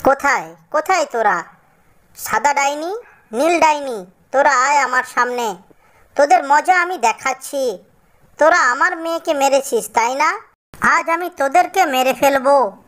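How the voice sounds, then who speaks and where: A man's voice: extremely high pitch, lively intonation, measured pace, pauses often, fairly bright tone, voice pushed up, heavily acted. A man voicing a distraught, vengeful cartoon mother bird, pleading and repeating with emphasis. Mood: furious.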